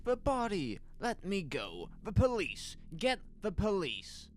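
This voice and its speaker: Frantic small-town shopkeeper, acted by a man, swooping pitch. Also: huge pitch swings, slow, heavily acted. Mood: frantic, alarmed. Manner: agitated, urgent, pleading. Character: panicked, frantic middle-aged male small-town shopkeeper, desperate to be heard. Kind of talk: audio horror drama acting. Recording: faint noise under ambient sound effects.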